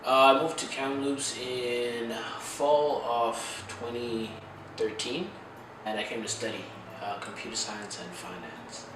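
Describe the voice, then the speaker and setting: A man's voice: mid-range voice, steady tone, slow, far from the mic. A young man from Zimbabwe, an international student, answering interview questions thoughtfully with many fillers. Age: young adult. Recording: echoey room, heard from afar with noise.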